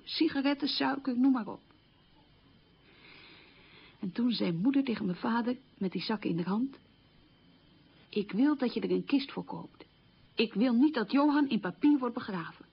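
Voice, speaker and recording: A woman's voice: on the high side, creaky, slightly rough, exaggerated voice. An actress playing a middle-aged woman who recalls wartime hunger in a plain-spoken, emphatic way, in a radio drama monologue. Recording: dull, muffled old radio recording.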